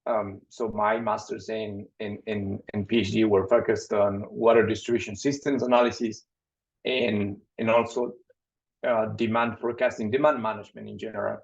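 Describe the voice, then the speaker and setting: A man from Ecuador, an assistant professor, introducing himself on a webinar panel, halting and often repeating his words over a video call. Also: lowish voice, little pitch movement, gravelly. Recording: dull lo-fi video call audio.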